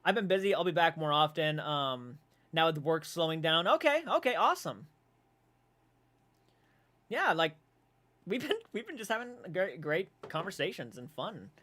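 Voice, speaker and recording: Bright-voiced man chatting on a livestream. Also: fairly high pitch, moderate pace, long pauses. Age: 20s. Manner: animated, swearing, laughing off comments. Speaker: livestream host. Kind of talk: casual livestream chat reading viewer comments. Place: dark room at home.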